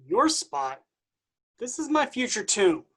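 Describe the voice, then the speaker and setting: An actor playing Jesus as a casual, awkward, upbeat buddy in a comic staged play reading. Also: male voice, high voice, sing-song, takes it slowly, pushed and bright, husky, heavily acted. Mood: casual, amused. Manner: breezy, informal.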